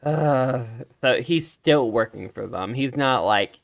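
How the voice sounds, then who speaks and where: Animated male let's play streamer. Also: medium pitch, slow pace, creaky. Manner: animated, reacting aloud to the story. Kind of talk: casual game commentary. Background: game music.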